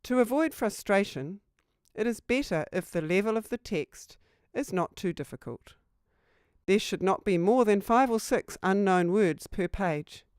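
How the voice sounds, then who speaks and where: A woman's voice: mid-range voice, expressive, measured pace, darkish tone, clean tone, no echo, studio quality. A woman reading a scripted instructional narration for a training video.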